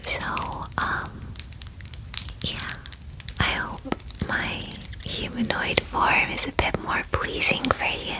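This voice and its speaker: A woman voicing a friendly, eager dragon in cute human form, whispering softly and chattily in an ASMR fantasy roleplay. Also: slow pace, pauses often, lightly acted, rough recording. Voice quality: soft, breathy whisper. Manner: chatty, gushing, hesitant, reassuring.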